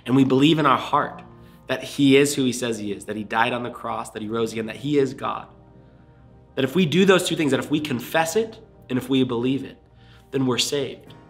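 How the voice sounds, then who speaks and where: A man's voice: medium pitch, a bit bright. A young man preaching as a pastor to camera in a small room, earnest and fervent, sounding a little congested. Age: young adult. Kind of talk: livestream sermon and altar call. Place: small indoor room before a screen.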